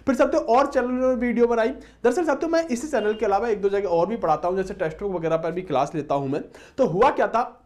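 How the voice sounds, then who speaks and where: High-voiced man teaching exam candidates. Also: expressive, fast talker, darkish tone. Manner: repeatedly addresses listeners as friends. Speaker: exam coaching teacher and channel host. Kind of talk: informal explanatory lecture to students.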